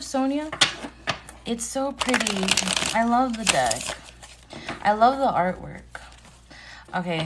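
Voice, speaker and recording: A woman's voice: mid-range voice, very noisy. A woman in her thirties hosting a casual live tarot stream, chatty, greeting viewers by name and laughing often. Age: 30s.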